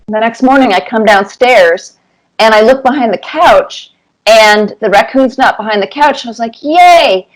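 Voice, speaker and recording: A woman cartoonist answering interview questions with casual anecdotes, telling them in an animated way and repeating words for emphasis. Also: on the high side, low-quality recording.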